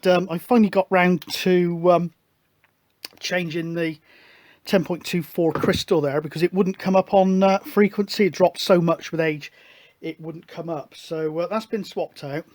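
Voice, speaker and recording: A middle-aged man hosting his own radio hobby show, chatting casually and rambling with plenty of fillers. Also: sits high. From United Kingdom. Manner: relaxed, rambling, many fillers. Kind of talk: casual show-and-tell chat.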